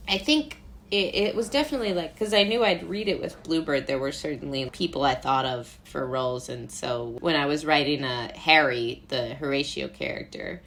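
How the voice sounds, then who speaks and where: Low-voiced young woman, chatty and goofy. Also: moderate pace, a bit bright. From Brooklyn, New York. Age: young adult. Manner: self-described goofy, chatty with frequent "like". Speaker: interview guest, playwright and actor. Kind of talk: casual interview chat.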